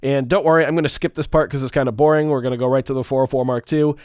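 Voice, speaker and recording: A man with a stuffed-up nose, talking through a relaxed, chatty walkthrough of his music gear. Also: medium pitch, racing, clean tone, no room sound, clean studio sound. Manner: relaxed, chatty, with fillers and small restarts. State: stuffed up, congested. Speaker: music gear video creator. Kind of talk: casual tutorial walkthrough.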